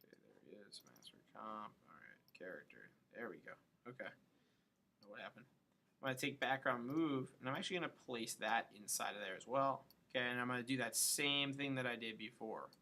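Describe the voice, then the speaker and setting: A man with a gravelly, bright voice at a medium pitch talks through a software tutorial. He is informal and thinks aloud, muttering to himself between steps. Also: expressive, fairly quick, a little hiss or hum. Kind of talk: casual screen-recorded tutorial, thinking aloud while working.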